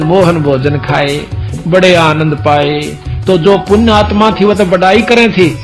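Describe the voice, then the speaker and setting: A man with a Haryanvi accent preaching through a folk story, telling it colloquially and voicing the dialogue as reported speech. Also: a little high. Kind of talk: religious discourse told as a folk story.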